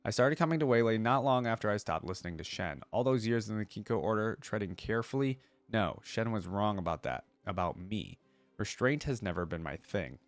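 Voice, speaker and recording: A man's voice: on the low side, lively intonation, fairly quick, dry recording. A man hosting a reaction video, reading lore aloud and adding casual commentary as he thinks aloud.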